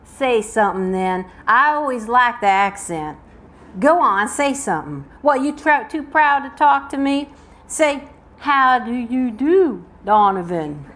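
A woman's voice: fairly high pitch, lively intonation, a little slow, fairly bright tone, voice pushed up. Woman putting on a taunting, menacing Southern man. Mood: goading. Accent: American South. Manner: taunting drawl. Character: tall menacing mocking male slave hunter on horseback. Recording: noisy live event room recording.

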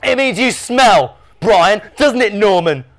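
A man voicing a cheeky, excitable little brother in a children's audiobook, childish and teasing. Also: sits high, slow pace, voice pushed up, heavily acted.

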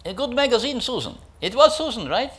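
A man's voice: extremely high pitch, big pitch swings, a bit bright, voice pushed up, full character voice. A man voicing a kindly, hesitant young male editor who stammers and halts. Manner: halting, stammering.

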